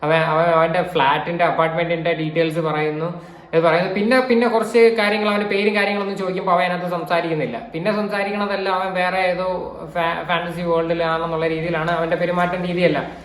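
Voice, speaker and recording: A woman's voice: lowish voice, level tone, a little fast. A woman with a Kerala accent giving casual reaction commentary as a video commentator. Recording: roomy, echoing indoor sound.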